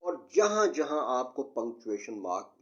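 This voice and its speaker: A man giving an explanatory lesson as an instructor, deliberate, emphatic and repetitive in his delivery. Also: mid-range voice, big pitch swings. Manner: didactic, deliberate, emphatic and repetitive, slowing down for English sentences. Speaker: English test instructor. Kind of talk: explanatory lesson mixing Urdu and English. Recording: dull, muffled lo-fi audio.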